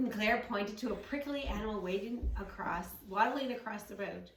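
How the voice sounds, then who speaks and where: A woman reading a story aloud to children and teaching yoga poses with gentle, encouraging praise. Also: mid-range voice, normal speed. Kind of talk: read-along story with yoga instructions for children. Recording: roomy, echoing, distant microphone.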